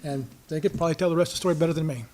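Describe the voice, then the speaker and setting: A man on a town commission giving a quick, casual report at a public meeting, with fillers. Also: fairly high pitch, a little mellow.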